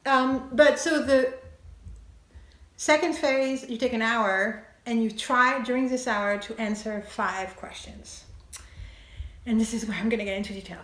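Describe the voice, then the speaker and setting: Brief: woman, slightly high voice, chatty writing lesson, roomy echo. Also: a bit bright. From France. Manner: thinks aloud with many fillers and chuckles. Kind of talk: casual explanatory monologue. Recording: echoey room.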